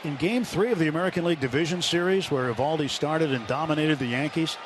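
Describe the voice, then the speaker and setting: A man's voice: a little high, fast talker. A man calling live baseball play-by-play as a radio broadcaster. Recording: loud ballpark crowd noise behind. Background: stadium crowd and ambience.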